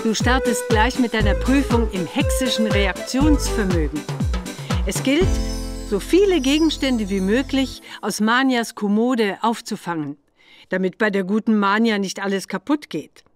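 A woman playing an encouraging adult witch mother who gives clear instructions in a children's computer game. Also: mid-range voice, moderate pace, music in the background, lightly acted. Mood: encouraging, instructive. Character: Bibi's mother, kindly adult witch examiner.

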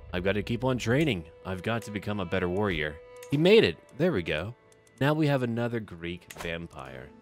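A man with a deep voice talks through a game as he plays, with faint music underneath. He speaks casually into a close mic, thinking aloud in short, clipped remarks and slipping lightly into roleplay. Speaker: host of a gameplay series. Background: background music.

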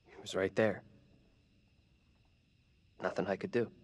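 A man's voice: low voice, fairly even intonation, a little slow, a little hiss or hum. An actor playing a rattled, sarcastic young man in a horror game, blunt and naturalistic. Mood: shaken, angry.

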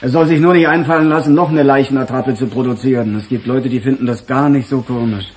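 An actor playing a weary middle-aged police chief in a radio crime drama, with a dry, musing, sardonic manner. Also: male voice, medium pitch, fairly even intonation, fast, darkish tone, a little hiss or hum, close to an everyday voice. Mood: firm.

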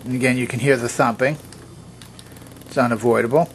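Man calmly explaining a machine, mid-pitched voice. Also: a little fast, a little hiss or hum. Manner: methodical, plainly instructional, pointing things out step by step. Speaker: presenter of vintage electronics demonstrations. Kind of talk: unscripted explanatory demonstration narration.

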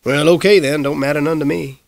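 A man's voice: fairly high pitch, fairly even intonation, a little fast, vocal fry, no echo. A man voicing a plainspoken middle-aged cook who talks in a folksy, matter-of-fact way.

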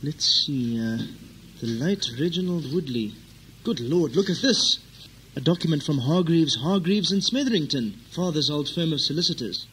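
A man's voice: on the high side, expressive, moderate pace, a bit bright, slight background noise, poor audio. A man acting a fussy, cautious and suspicious brother in a comic audio drama.

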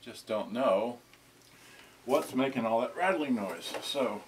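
A man in his sixties talking casually and haltingly, muttering to himself as he demonstrates something to a camera a short distance away in a small indoor room. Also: medium pitch, expressive, background noise. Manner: halting, muttering to himself while handling items. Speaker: vintage electronics unboxing vlogger. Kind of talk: casual off-the-cuff demonstration talk.

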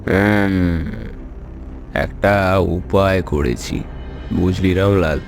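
A man's voice: low voice, extremely slow, vocal fry, soft background music, exaggerated voice. A man voicing a scheming, self-important middle-aged landlord in a cartoon, with a lordly drawl. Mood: smug, scheming. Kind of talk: cartoon voice acting.

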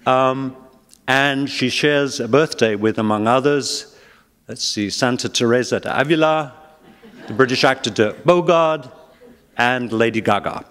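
An older man hosts a public onstage interview, measured and gently wry as he introduces his guest and reads aloud. His voice sits at an ordinary pitch for a man. It carries the long reverb of a large event hall. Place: library event hall with audience.

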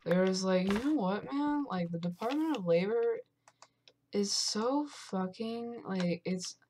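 Low-voiced woman rambling slowly over loud noise. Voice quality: fairly low voice. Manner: absurd deadpan rambling, sudden loud outbursts. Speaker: gamer. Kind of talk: rambling joking commentary while playing.

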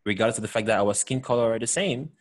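A man's voice: lowish voice, fast. A man in his thirties from Cameroon, hosting a video call chat with an earnest, emphatic manner and frequent restarts. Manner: earnest, emphatic, many restarts and repeated words. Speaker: host. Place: home room with bookshelves. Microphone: headset with desk microphone.

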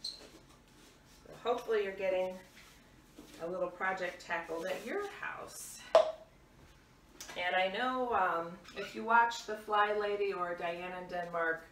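A middle-aged woman chatting casually and off the cuff as she moves around a home kitchen doing chores, like a vlogger. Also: lowish voice. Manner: unscripted, talks while moving about doing chores. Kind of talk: casual chat while tidying. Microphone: camera a few meters away. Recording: roomy, distant mic, clattering dish noise. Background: dishes clattering, clicks.

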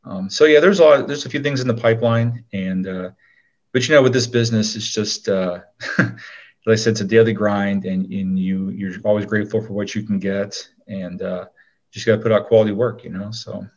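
Guy with a lowish voice chatting in an interview, kinda rambly, lots of pauses. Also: fairly even intonation, highs cut off. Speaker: actor, interview guest. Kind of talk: casual interview chat.

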